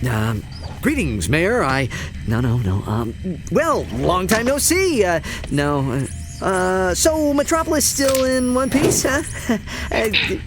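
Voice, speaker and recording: An actor voicing a young male Lombax hero, casual and wry, in a game cutscene with loud music. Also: high voice, very animated, slow pace, background noise, full character voice. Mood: flustered.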